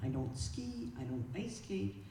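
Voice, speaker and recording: A woman's voice: on the low side, very animated, a little slow, dark tone. A woman presenting at a club meeting, reading a prepared speech aloud with informal asides. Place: indoor meeting room, speaking to a group. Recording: roomy, distant mic, clear background noise.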